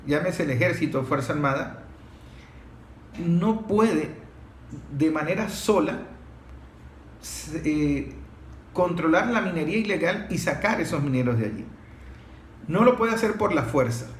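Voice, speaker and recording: High-pitched, emphatic Venezuelan man in echoey room. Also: expressive, unhurried, pauses often. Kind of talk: unscripted analytical discussion. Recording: roomy echo, faint background noise.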